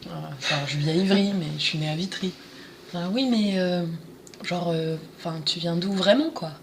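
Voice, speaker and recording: A woman's voice: lowish voice, moderate pace, slight background noise. A woman, answering interview questions casually and hesitantly, with many fillers and restarts. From France, Paris suburbs. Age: 20s. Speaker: interview guest.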